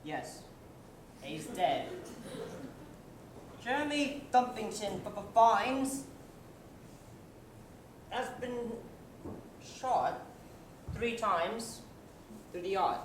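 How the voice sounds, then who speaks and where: A young woman on stage playing a ponderous, deadpan police inspector in a farce, solemn and halting. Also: medium pitch. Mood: ponderous solemnity. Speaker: student actor in a one-act comedy. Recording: echoey hall with faint noise.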